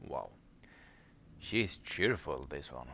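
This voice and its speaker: A man's voice: a bass voice, sing-song, slow, gravelly, a bit bright, a little hiss or hum. A man giving casual commentary while playing a video game. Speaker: gameplay commentator.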